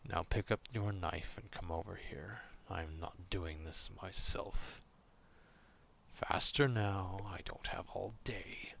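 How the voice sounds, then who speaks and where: A man acting out a gruff, proud, distrustful werewolf in an ASMR roleplay, stern and curt but grudgingly caring, in a gravelly half-whisper. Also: low voice, slow pace, fairly bright tone, slight background noise, full character voice. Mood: gruff, instructing.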